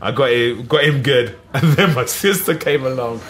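A middle-aged man with an East London accent, telling lively stories as a podcast guest, full of "you know what I mean". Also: mid-range voice, normal speed, slight background noise. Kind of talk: casual storytelling.

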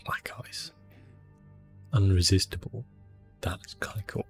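A man giving soft, hushed ASMR gameplay commentary in a relaxed, unhurried manner. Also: low voice, creaky, soft background music. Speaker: gaming streamer, host.

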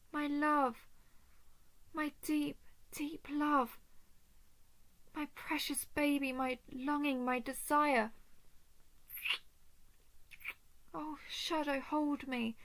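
A woman playing a possessive, desperate female dragon in an intimate roleplay audio drama, with a breathy voice that swings between tender and frantic. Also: high-pitched, fairly bright tone, exaggerated voice. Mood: yearning.

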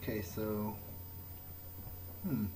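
A man's voice: fairly low pitch, fairly even intonation, drawn-out words, noisy background. A man improvising in-character commentary as he plays a video game, hesitant and musing. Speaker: gamer playing in character. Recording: voice-over with game music behind. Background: video game music.

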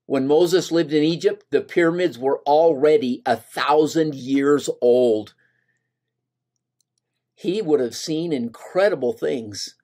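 A man's voice: a little high, slow, a little mellow. An older man leads a scripture lesson at his desk, speaking earnestly into a webcam. He leans into quoted lines with rising emphasis, his voice plain and close. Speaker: gospel study teacher. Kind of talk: scripture lesson over slides.

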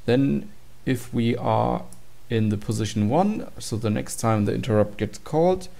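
A man's voice: medium pitch, unhurried, gravelly, dark tone. A man giving an informal, matter-of-fact tutorial walkthrough of program code. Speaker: tutorial presenter.

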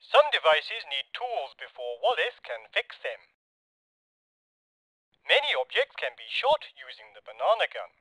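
A man's voice: on the high side, big pitch swings, a little slow, a bit bright, no room sound, low-quality recording. A man reading scripted help instructions for a video game tutorial aloud. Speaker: game developer recording placeholder help lines.

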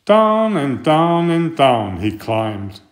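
A man reading a children's picture book aloud in a deliberate, expressive storytelling manner. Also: a little high. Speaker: storybook reader and author.

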